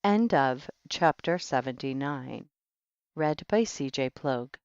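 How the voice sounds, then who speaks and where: A man giving a solemn, measured audiobook narration of old scripture in archaic wording. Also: medium pitch, expressive, clear, resonant tone, no echo, muffled recording. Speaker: audiobook reader.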